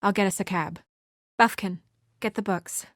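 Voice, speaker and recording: An actress playing a brisk, exasperated, dutiful young professional woman in a video game, speaking in a crisp, earnest, businesslike way. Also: medium pitch, steady tone, fairly bright tone, dry recording, studio quality, close to an everyday voice. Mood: brisk, focused.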